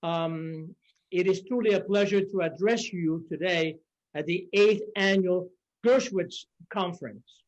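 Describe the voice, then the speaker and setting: An older man with a Cuban Spanish accent, a bishop giving a formal conference address over a video call, measured with hesitant fillers. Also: high voice. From Cuba. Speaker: auxiliary bishop, conference speaker. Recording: dull lo-fi video call audio.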